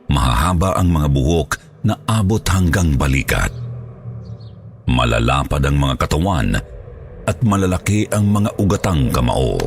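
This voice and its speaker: A male narrator reads a scripted horror tale. His voice is extremely deep and creaky, moving in animated swings over faint music.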